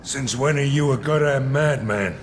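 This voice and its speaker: A man's voice: mid-range voice, moderate pace, in a large hall, a little hiss or hum, close to an everyday voice. A man voicing a cocky, hard-bitten veteran mercenary in a video game, brash and sardonic.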